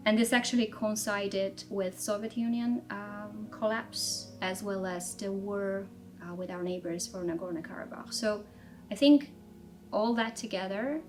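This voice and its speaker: A woman in her thirties from Armenia, telling her life story in a measured, reflective way in an interview, with frequent fillers and restarts. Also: medium pitch. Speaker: interviewee, strategy director. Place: office.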